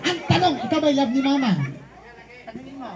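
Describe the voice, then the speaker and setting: A woman's voice: high-pitched, a little fast. A woman with a Filipino accent hosting a family puppet show, bantering playfully on stage with coaxing and mock scolding. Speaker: puppet show host, children's entertainer. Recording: live event with loud crowd. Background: audience crowd.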